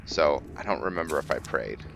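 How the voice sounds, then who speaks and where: A low male voice with a bright, forward tone narrates a roleplay playthrough in a casual way. He pauses and thinks aloud as he works out what his character will do. There is noticeable noise behind him on what sounds like a home recording. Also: lively intonation, gravelly. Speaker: gamer hosting a roleplay playthrough. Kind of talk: casual gameplay commentary.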